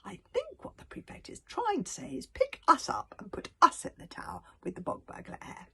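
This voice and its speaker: A woman's voice: high-pitched, wildly swooping pitch, brisk, creaky, bright, forceful tone, husky, voice pushed up, heavily acted. A woman voicing a small, earnest Viking boy hero who talks in a pleading way.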